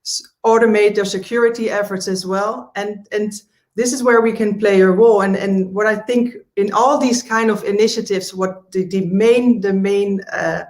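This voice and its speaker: A woman with a Dutch accent presents informally as a sales trainer. Her voice is medium in pitch, and her phrasing is halting and hesitant. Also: fairly even intonation, darkish tone.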